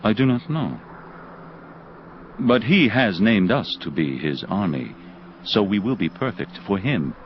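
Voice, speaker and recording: An actor playing a proud, intense angel captain of the heavenly host in an audio drama, speaking in a formal, stately way. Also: male voice, on the low side, big pitch swings, unhurried, pauses often, a little hiss or hum. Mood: fervent. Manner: formal, stately, contraction-free speech. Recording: muffled lo-fi audio drama with music. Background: prominent music.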